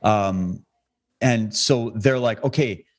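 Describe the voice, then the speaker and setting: A man from Alberta, talking as a guest on a podcast interview in a fervent, impassioned way. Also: takes it slowly. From Canada (Alberta). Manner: fervent, impassioned, rising in pitch when stirred.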